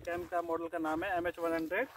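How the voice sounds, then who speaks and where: A man's voice: a little high, little pitch movement, fast, a little hiss or hum. Chatty Indian man vlogging outdoors. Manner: chatty, repeats "so doston", shows each step. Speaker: camping vlogger. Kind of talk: casual how-to vlog. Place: open hillside meadow outdoors.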